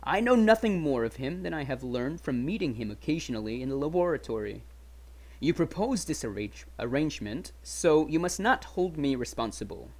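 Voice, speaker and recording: A man reading as an animated, candid young gentleman. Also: on the high side, moderate pace. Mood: wary, earnest. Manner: animated, emphatic, old-fashioned polite phrasing. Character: young gentleman acquaintance, frank, chatty, wary of his friend. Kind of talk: dramatic audiobook reading.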